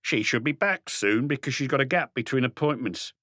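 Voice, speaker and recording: A man's voice: mid-range voice, lively intonation, brisk, sharp tone, no echo, studio quality, voice pushed up. A man voicing a shifty thirty-five-year-old small-time crook, defensive and evasive, in an audiobook mystery. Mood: evasive, indignant.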